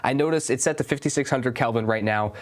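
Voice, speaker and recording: A man's voice: mid-range voice, fast. A young man with a stuffy, congested voice, giving a casual, enthusiastic review of a studio light. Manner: casual, enthusiastic about gear. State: stuffy, under the weather. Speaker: gear reviewer.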